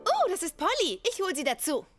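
Sassy high-voiced trendy girl. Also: huge pitch swings, fairly quick, full character voice. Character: trendy, bored, dramatic fashion-minded girl. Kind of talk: German cartoon dub. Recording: loud music underneath. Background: music.

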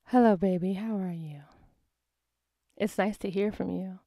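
A woman reading her own poetry as spoken word, intimate and expressive, with phrases dropping into breathy softness. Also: medium pitch, unhurried, no room sound, studio quality. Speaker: poet reading own work.

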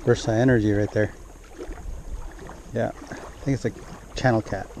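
Man vlogging outdoors, clear background noise. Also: fairly low pitch, steady tone, moderate pace. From central California. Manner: informal, chatty, talks to the fish. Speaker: fishing vlogger. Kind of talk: casual narration to camera while fishing. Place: outdoors on a lake shore. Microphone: action camera worn on the body. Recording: clearly noisy outdoor action-camera audio, wind.

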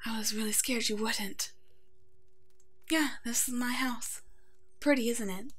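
A woman playing a kind, protective female werewolf alpha in an ASMR roleplay, speaking in a gentle, nurturing way that often slips toward a whisper. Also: on the high side, unhurried. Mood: caring, reassuring. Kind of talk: ASMR roleplay acting, tender and soothing.

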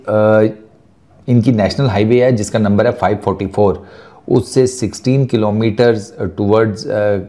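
A man's voice: on the low side, warm tone. A man, hosting a chatty sports news video on a lapel mic, joking and mixing in English words. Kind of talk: casual talking-head sports commentary. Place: small furnished studio set indoors.

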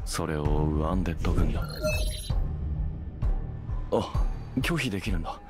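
A man playing a brooding young hunter in an anime game cutscene, measured, musing and commanding, with a deep voice. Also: lively intonation. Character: brooding young male hunter gaining necromancer powers. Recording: loud music behind the voice. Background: loud soundtrack music.

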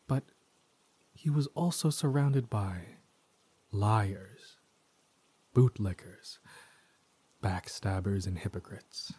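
A man performs a tormented villain spirit speaking through a possessed body in an audio roleplay. The voice is dark and mellow with a breathy husk. He speaks slowly and theatrically, with deliberate menace, close and dry. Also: mid-range voice, no room sound. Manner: theatrical, slow and deliberate, dropping into whispers. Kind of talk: scripted dramatic audio roleplay monologue.

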